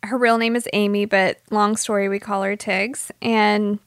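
A woman's voice: mid-range voice, flat delivery, measured pace, no echo, studio quality. A woman co-hosting a casual podcast interview, speaking in a heartfelt, slightly teary way. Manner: heartfelt, emotional, a little teary.

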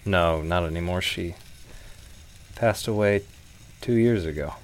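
A man playing a hesitant, guilt-ridden widowed husband confiding in an audio drama, with halting delivery. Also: low-pitched, noisy background. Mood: wistful, sad. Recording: background music under the voice.

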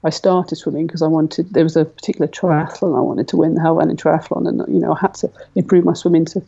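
Woman, forties, gravelly and flat-toned, muffled lo-fi line; relaxed interview guest who thinks aloud. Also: low-pitched, quick pace. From Britain. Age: 40s. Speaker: guest athlete. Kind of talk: casual conversational interview.

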